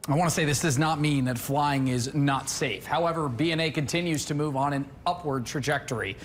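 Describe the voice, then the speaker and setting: A man's voice: fairly high pitch, brisk, slight background noise. A man reading a scripted news report in the manner of a television reporter.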